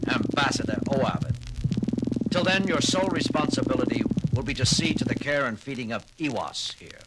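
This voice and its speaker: A man's voice: mid-range voice, lively intonation, normal speed, very noisy, close to an everyday voice. An actor playing a commanding male starship captain who gives clipped orders.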